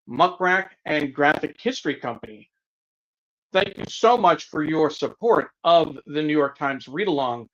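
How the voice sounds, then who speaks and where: A man co-hosting a livestream, making announcements and greeting viewers in a casual, halting way. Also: on the high side, a bit bright. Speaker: co-host of a news discussion livestream. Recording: dull, lo-fi livestream audio.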